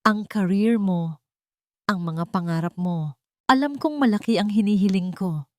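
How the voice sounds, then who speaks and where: A woman's voice: mid-range voice, unhurried, darkish tone, no echo, close to an everyday voice. A woman narrator acts out a frail Filipino mother, weak yet determined. She pleads insistently in an audio love story, recorded dry. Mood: tearful pleading.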